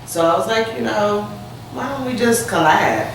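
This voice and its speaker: Chatty salon owner in an echoey room. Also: male voice, high voice, animated, moderate pace, creaky, fairly bright tone. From Kansas City, Missouri. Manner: chatty, rambling, frequent restarts. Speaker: hair salon co-owner, guest. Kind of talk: casual interview. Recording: echoey room, distant mic, noticeable noise.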